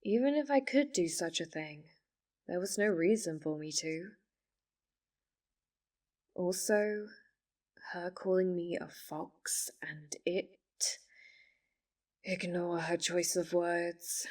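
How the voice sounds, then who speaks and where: A woman playing a polite, obsessively devoted fox spirit in an ASMR roleplay, speaking in formal, composed phrases with quiet menace. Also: fairly low pitch, far from the mic. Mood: calm, reassuring. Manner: formal, measured, composed wording.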